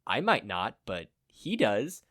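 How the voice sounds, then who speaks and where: A man's voice: fairly low pitch, wildly swooping pitch, slow pace, fairly bright tone, no echo, heavily acted. A bold, defiant female superhero acted out by a man. Her lines are blunt and emphatic, delivered like a comic-book heroine. The recording is close and dry.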